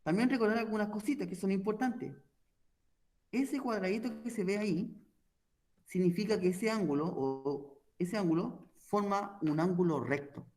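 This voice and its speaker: High-voiced man teaching math. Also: normal speed, a little mellow. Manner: explanatory, checks in with repeated "¿ya?". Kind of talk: online math lesson.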